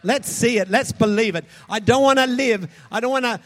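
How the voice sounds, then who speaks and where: A man preaching a sermon, impassioned, swinging between loud shouts and soft, quick asides. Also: extremely high pitch. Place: large hall converted into a church.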